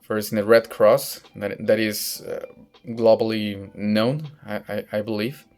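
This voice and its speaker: A young man with a Mexican Spanish accent talks slowly and hesitantly, stopping often to restart sentences and hunt for the right English word. His low voice is smooth and clear, held in a flat, even tone. Faint music plays behind him. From northern Mexico. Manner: hesitant, searching for words, frequent restarts. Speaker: vlogger practicing English. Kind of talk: casual personal monologue. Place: outdoors in sunlight.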